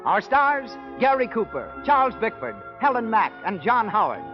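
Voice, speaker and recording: A man's voice: high voice, big pitch swings, normal speed. An American man announcing a 1930s radio show with a polished, declamatory delivery. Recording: dull old 1930s radio broadcast. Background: music.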